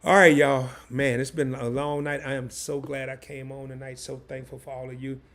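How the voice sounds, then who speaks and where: A hoarse man hosting a live stream, chatting loosely and in slang straight to his viewers. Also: medium pitch, level tone, normal speed, fairly bright tone. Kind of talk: casual live stream chat.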